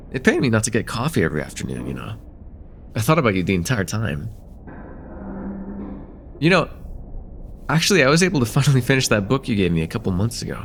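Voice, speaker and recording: Man acting as a friendly college guy, lively pitch. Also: a little hiss or hum. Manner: casual, hesitant, conversational with soft teasing. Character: friendly, teasing college-age man chatting with close friend. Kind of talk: slice-of-life ASMR roleplay acting.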